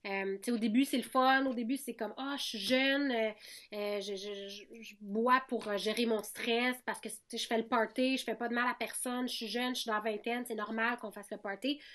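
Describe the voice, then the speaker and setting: A woman in her thirties with a Québécois accent speaks in a slightly high voice. She talks candidly and conversationally, with frequent restarts and repeated words as she works through her thoughts. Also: steady tone, moderate pace, fairly bright tone. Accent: Québécois French. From Quebec. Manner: frank, many restarts and repetitions. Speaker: podcast guest, singer.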